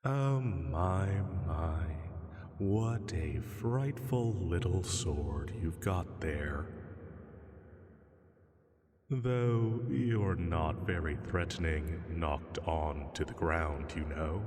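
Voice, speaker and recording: A man voicing an ancient dragon in an audio roleplay, menacing and smug, taunting his prey with deliberate, mock-pitying words. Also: sits low, a little mellow. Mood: mocking, condescending. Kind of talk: slow, intimate scripted roleplay monologue. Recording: cavernous long reverb.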